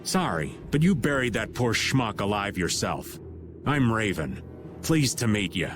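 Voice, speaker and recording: A man's voice: fairly low pitch, very animated, a little slow, over music. A man voicing a wry, flippant middle-aged rogue in a video game, with a colloquial way of talking. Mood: wry defiance.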